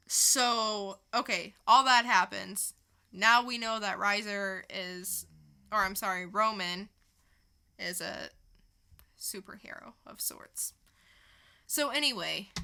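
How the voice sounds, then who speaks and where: A woman's voice: medium pitch, gravelly, pushed and bright. A woman co-hosting a podcast, chatting casually through a comic book review and retelling it with casual swearing. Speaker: podcast co-host.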